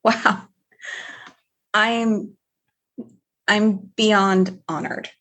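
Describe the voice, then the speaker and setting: A woman's voice: mid-range voice, level tone, extremely slow, a bit bright. A woman acting an eager, deferential station officer, earnest and easily flustered, in an audio drama. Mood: stunned, grateful.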